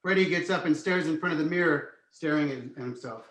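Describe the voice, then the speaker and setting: A man's voice: on the high side, brisk, fairly bright tone. A man narrating a screenplay's stage directions as scripted reading, recorded in an echoey room.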